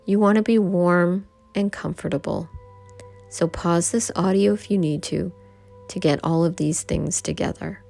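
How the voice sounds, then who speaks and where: A woman guiding a yoga nidra meditation, giving gentle instructions in short phrases with pauses between them. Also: fairly low pitch, fairly even intonation, vocal fry. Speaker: meditation guide. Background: background music.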